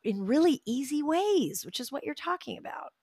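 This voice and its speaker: A middle-aged woman telling stories expressively as an interview guest, with lively asides. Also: high-pitched, normal speed, no room sound. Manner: expressive storyteller, animated asides. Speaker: guest, communication coach. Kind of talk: casual interview chat.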